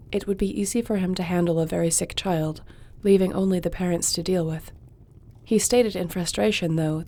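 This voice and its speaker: A woman playing a loyal young sorceress who advises a king, speaking in a measured, reassuring way. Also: on the low side, warm tone. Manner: measured, reassuring, reads reports aloud. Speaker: audio roleplay performer. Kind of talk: fantasy audio roleplay.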